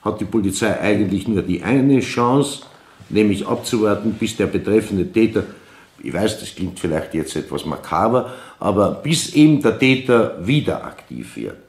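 An actor playing a courteous, officious police official with an Austrian accent in a radio drama, speaking formally and emphatically. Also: male voice, fairly low pitch, lively intonation, normal speed, darkish tone. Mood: grave, cautioning. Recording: roomy echoing hall.

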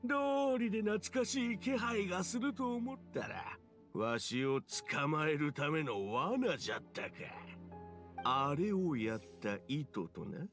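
A man's voice: a little high, big pitch swings, unhurried, fairly bright tone, soft background music. A man playing an ancient, mischievous male fox spirit in a video game, with old-fashioned, theatrical elderly speech. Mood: gleefully villainous. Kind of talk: Japanese video game acting.

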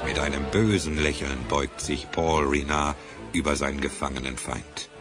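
A man's voice: extremely low pitch, fairly even intonation, normal speed, over music. A man giving dramatic scripted narration for a German radio play.

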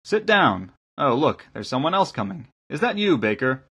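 A man's voice: mid-range voice, very animated, normal speed, husky, pitched above the performer's own voice, full character voice. A man voicing a kindly, eager engineer and lay preacher in an audiobook, with a hearty, welcoming manner. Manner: hearty, welcoming, gently correcting. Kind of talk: audiobook character acting.

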